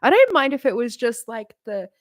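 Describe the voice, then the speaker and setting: A woman's voice: a little high, very animated, moderate pace, no echo, clean studio sound. A woman in her late thirties chatting casually on a live stream, rambling and candid, swearing freely and laughing often. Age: late 30s.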